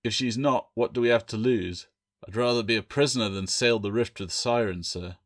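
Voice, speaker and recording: A man's voice: medium pitch, moderate pace, natural voice. Guy doing a grumbly doubtful sailor for an audiobook, blunt but respectful. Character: wary, grumbling male sailor under a pirate captain.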